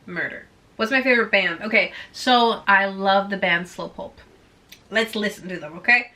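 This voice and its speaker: A woman's voice: medium pitch, normal speed, sharp tone. A woman of about twenty whose first language is Swedish, chatting bubbly and casual through a Q&A with her viewers. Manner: bubbly, chatty, heavy use of "like". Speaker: vlogger.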